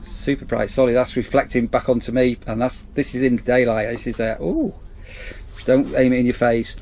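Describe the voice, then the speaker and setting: A man's voice: medium pitch, fairly even intonation, moderate pace, a little mellow, slight background noise. A man in a campsite warden role, chatting casually outdoors as he reviews a torch on an action camera. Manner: chatty, rambling, repeats "as I say". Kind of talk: casual product review vlog.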